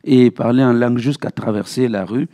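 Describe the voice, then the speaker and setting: A man's voice: medium pitch, a little fast, dark tone. A man speaking as a pastor, teaching a Bible study in a didactic way and repeating key phrases for emphasis. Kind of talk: Bible teaching with verse citations.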